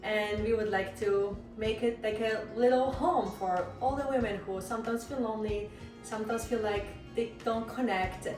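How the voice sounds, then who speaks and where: A woman's voice: on the high side, normal speed. A woman from Poland with a Polish accent hosting a casual kitchen chat and asking questions. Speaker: host. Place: indoor kitchen with chopping and clattering dishes. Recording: roomy kitchen, distant mic, music underneath.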